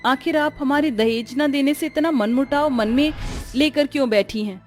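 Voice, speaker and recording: A woman's voice: high voice, moderate pace, close to an everyday voice. A woman voicing a patient, put-upon young daughter-in-law in a cartoon, polite and pleading. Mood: hurt, pleading. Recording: music under the voice.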